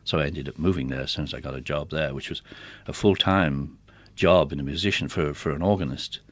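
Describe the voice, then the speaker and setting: A man's voice: very deep voice, brisk, no room sound. A middle-aged man chatting casually as an interview guest, looking back over his working life as a musician. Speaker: interview guest, theatre organist. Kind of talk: casual conversational reminiscence.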